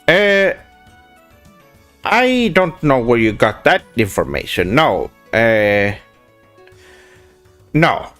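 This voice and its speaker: A man's voice: medium pitch, big pitch swings, very slow, pauses often, fairly bright tone, soft background music. A young Swedish man streaming, reading chat questions and answering in a pompous weeaboo persona, dropping in Japanese words and often restarting with "uh". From Sweden. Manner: hesitant restarts with many "uh"s. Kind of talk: casual livestream chat, reading questions aloud.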